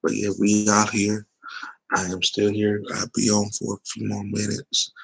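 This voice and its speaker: A man chatting loosely and teasingly on a sports talk livestream, with a voice he says is going hoarse. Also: lowish voice, completely flat delivery, a little slow, fairly bright tone, rough recording. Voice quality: gravelly, husky. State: losing his voice. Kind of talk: casual livestream chat.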